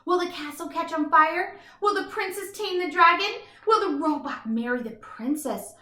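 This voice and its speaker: Bright, very animated woman reading to children. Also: extremely high pitch, moderate pace, reverberant. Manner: animated, emphatic, instructive delivery for young children. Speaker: teacher reading a picture book aloud. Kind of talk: expressive children's read-aloud.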